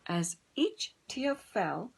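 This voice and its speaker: A woman reads a children's story aloud at a slow, careful pace. Her delivery is gently dramatic, with animated rises and falls in pitch and a slightly husky, breathy edge. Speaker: storybook reader. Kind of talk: expressive children's story narration.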